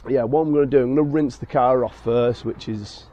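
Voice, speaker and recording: A man with a croaky, hoarse voice from being ill, hosting a car detailing vlog and explaining each step in a chatty way with many fillers. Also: mid-range voice, steady tone, brisk, dark tone. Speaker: car detailing channel host. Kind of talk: casual talk to camera with fillers. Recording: dull lo-fi sound.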